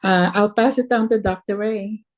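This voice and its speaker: A woman's voice: mid-range voice, steady tone, normal speed. A woman who chairs the committee and runs a family childcare, introducing herself casually at a video meeting with frequent filler sounds. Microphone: video call.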